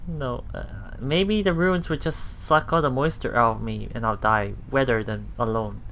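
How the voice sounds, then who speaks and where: A man reading a visual novel aloud as a let's play host, halting and self-correcting, with muttered casual asides. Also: mid-range voice, steady tone, a bit bright, slight background noise, rough recording.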